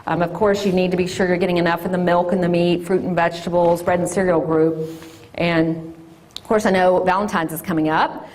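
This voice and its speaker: A woman, an orthodontist giving a loud, lively classroom talk to children. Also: on the low side, brisk. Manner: loud and lively, sing-song call-and-response.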